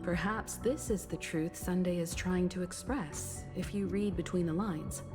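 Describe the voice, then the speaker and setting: A woman playing a composed, perceptive young travelling companion with measured delivery in a video game. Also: lowish voice, music in the background, lightly acted.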